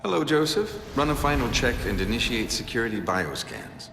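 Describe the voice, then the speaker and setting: In a big echoing space with music behind, a commanding corporate scientist gives orders. His middling voice has a creaky edge, in video game style. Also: medium pitch, very animated, brisk. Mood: curt, commanding. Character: commanding male corporate scientist in a future lab. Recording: echoey hall reverb, music behind.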